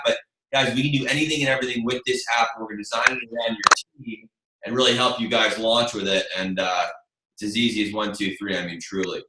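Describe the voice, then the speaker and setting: A man hosting an online team meeting as a marketing presenter, with a chatty, rambling, informal sales delivery. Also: mid-range voice. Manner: chatty, rambling, informal, addresses listeners as you guys. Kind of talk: casual sales pitch on a group video call. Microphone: video call. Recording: roomy echo, faint noise, clicks.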